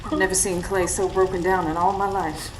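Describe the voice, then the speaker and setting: A woman's voice: lowish voice, little pitch movement, a little fast, close to an everyday voice. An actress playing a devoted, tough young woman who narrates a radio drama. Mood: reflective. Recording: live stage recording, faint background noise.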